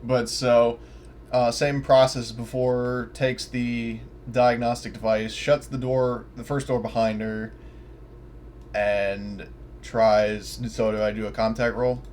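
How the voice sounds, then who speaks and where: A man's voice: mid-range voice, level tone, slow pace, darkish tone. A man chatting casually and exclaiming with swearing as a player in a tabletop roleplaying game. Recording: faint background noise.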